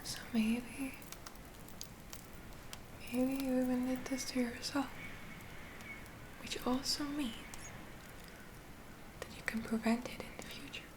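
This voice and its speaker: A woman doing a whispered ASMR roleplay as a gentle witch healer, soothing and reassuring in an unhurried manner. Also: high voice, steady tone, noisy background. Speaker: ASMR performer.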